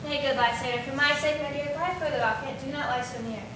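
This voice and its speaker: A woman's voice: high voice, quick pace, a bit bright. A woman playing a modest, affectionate young maiden in a Shakespearean verse play on stage. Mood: gentle, coy. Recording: very echoey distant hall, clear background noise.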